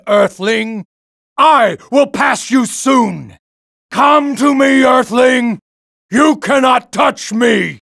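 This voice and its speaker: Gravelly, high-pitched brutish alien racer. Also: male voice, fairly bright tone, no room sound, studio quality, exaggerated voice. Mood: menacing. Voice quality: gravelly, raspy. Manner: broken English, taunting, bellowing. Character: brutish, boastful, hot-tempered alien ape-like kart racer. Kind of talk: exaggerated cartoon video game voice acting.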